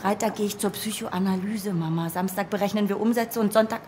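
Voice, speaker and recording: A stressed, lonely woman played by an actress talks curtly and with exasperation. Her pitch is medium, the style is radio drama, and there is faint noise behind her. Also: steady tone, a little fast, close to an everyday voice. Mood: harried, evasive. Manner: curt, exasperated. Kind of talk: German radio play acting.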